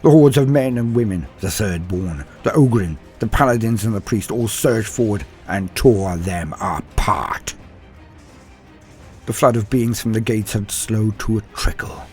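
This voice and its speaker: A man reading a scripted fantasy war story as a storyteller, gravely and dramatically, swelling for emphasis and dropping to a hush. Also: lowish voice, measured pace. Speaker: storyteller and narrator of a fan lore channel. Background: music under the voice.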